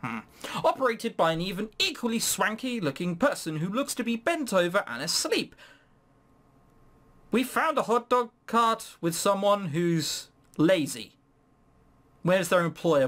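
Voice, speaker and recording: A man's voice: high voice, fairly bright tone. A man hosting a gaming video, reading game text aloud and commenting on it casually with sarcastic, incredulous, rambling asides.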